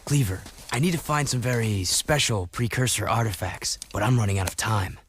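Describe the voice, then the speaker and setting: Terse, brooding young hero. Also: male voice, on the low side, lively intonation, brisk, a bit bright, a little hiss or hum. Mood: earnest. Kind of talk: video game cutscene acting.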